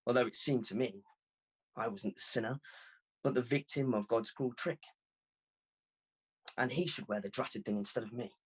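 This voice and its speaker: A man narrates a first-person novel as an audiobook reader. His voice sits at a medium pitch and has a husky texture. The reading is scripted and expressive.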